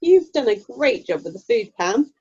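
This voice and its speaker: A woman's voice: on the high side, big pitch swings, moderate pace, dark tone, breathy edge. A woman acting a kindly, down-to-earth British drama club member in an amateur radio play, chatty and easygoing, over a video call. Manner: easygoing, complimentary. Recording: muffled lo-fi video call audio.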